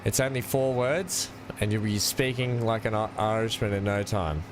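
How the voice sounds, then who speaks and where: A man's voice: lowish voice, normal speed, background noise. A man with an Australian accent hosting a game stream, chatting casually and laughing often. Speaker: gaming channel host.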